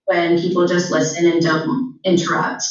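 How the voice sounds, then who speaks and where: A woman's voice: on the low side, little pitch movement, normal speed. A teenage girl giving a school presentation, stuttering with repeated words and frequent fillers. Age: teens. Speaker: student speaker. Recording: very echoey, distant, dull sound.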